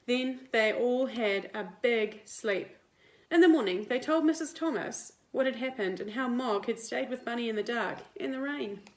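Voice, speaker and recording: Kiwi lady teacher reading a kids picture book, highish voice, really expressive, roomy echo. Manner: expressive, deliberate storytelling for children. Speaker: primary school teacher. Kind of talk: picture book read aloud to young children.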